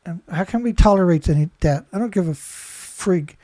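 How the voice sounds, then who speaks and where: A man's voice: high voice, normal speed, dark tone. A man reading news headlines and adding rambling, indignant commentary, often ending with "right?". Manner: rambling, indignant, many restarts and "right?" tags.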